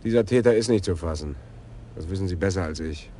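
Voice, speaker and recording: Actor voicing a blunt detective in a radio play, very deep voice, matter-of-fact, faint hiss. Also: male voice, fast, mellow, lightly acted.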